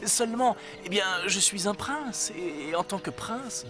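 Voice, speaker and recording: A man's voice: high voice, animated, a little slow, music in the background. A man voicing a young, well-mannered but haughty prince in a cartoon, courtly and flustered. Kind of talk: French cartoon dubbing.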